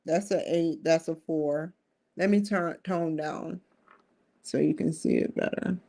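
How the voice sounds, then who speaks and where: A woman's voice: low voice, fairly even intonation, unhurried, gravelly, warm tone. Earnest rambling woman in her forties. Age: 40s. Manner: rambling, earnest, with frequent restarts. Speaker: talk show host. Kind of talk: live-streamed solo talk. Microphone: streaming setup.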